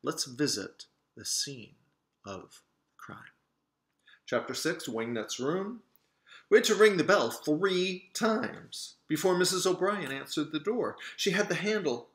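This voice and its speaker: A man reading a children's story aloud in an expressive way, as the author, with asides to show pictures. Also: a little high, a little slow. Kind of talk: animated children's storybook narration. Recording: roomy, echoing sound.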